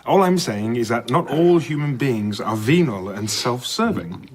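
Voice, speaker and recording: An actor playing a courteous, sceptical and curious scholar-diplomat in an audio drama, articulate and conversational in debate. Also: male voice, mid-range voice, lively intonation, a little slow, breathy edge, no room sound.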